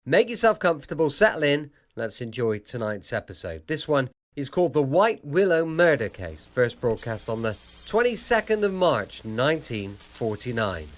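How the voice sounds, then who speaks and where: Lively-pitched male podcast host. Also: moderate pace, few pauses, a little hiss or hum. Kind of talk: chatty episode introduction.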